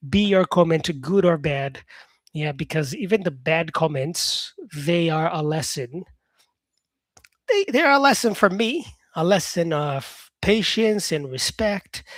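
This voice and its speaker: A man's voice: high-pitched, no room sound. Upbeat rambling male English teacher, dry sound. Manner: rambling, restarts himself, many fillers; upbeat, encouraging. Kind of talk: casual talk to viewers, answering comments.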